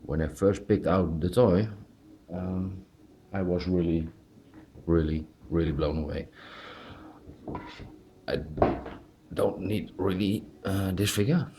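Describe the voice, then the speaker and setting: A man with a Dutch accent gives a casual, hands-on amateur review. His voice is very deep and his delivery is slow. He speaks informally and haltingly in English, which is not his native language. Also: steady tone, dark tone, slight background noise. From Netherlands. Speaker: amateur collectible toy reviewer.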